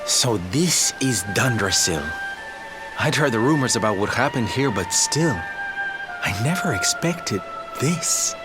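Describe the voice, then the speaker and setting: A man's voice: mid-range voice, animated, unhurried, loud music behind the voice, close to an everyday voice. An actor playing a reflective male traveling companion in a video game, speaking wistfully.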